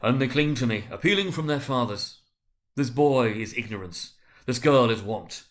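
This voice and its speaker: Man playing a stern, booming old ghostly spirit. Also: lively intonation, normal speed, natural voice. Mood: grave warning. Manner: booming, emphatic, declamatory. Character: solemn ageing male Christmas spirit, stern and sorrowful. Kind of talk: dramatic storybook reading of a Victorian tale.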